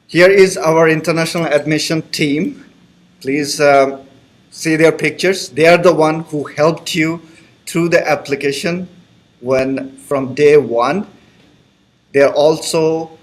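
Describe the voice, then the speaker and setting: Male student advisor. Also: fairly high pitch. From Bangladesh. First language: Bengali. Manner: friendly, repeats reassurances, loose grammar. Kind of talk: live online information session.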